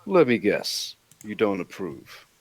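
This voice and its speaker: A man's voice: fairly low pitch, huge pitch swings, slow, no room sound, exaggerated voice. A man reading the part of a scruffy, skeptical monster hunter in his forties, with a sarcastic, wisecracking manner.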